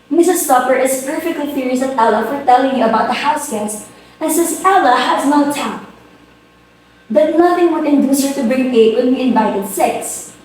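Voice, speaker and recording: A woman's voice: sits high, darkish tone, lightly acted. A woman playing a flustered, imperious society hostess and mother in an amateur dramatic reading of a comic stage play, with a theatrical, exclamatory manner. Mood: indignant. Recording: very echoey room, distant mic, faint noise.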